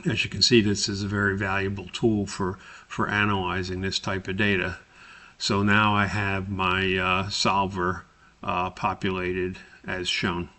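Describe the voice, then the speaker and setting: A man narrating a step-by-step software tutorial as an instructor, explaining things in a deliberate, somewhat hesitant way. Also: deep voice, monotone.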